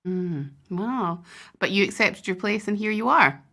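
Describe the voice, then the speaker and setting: A woman's voice: medium pitch, lively intonation, measured pace, fairly bright tone. A woman hosting a podcast, asking her guest casual, encouraging questions. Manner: encouraging, conversational. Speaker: podcast host.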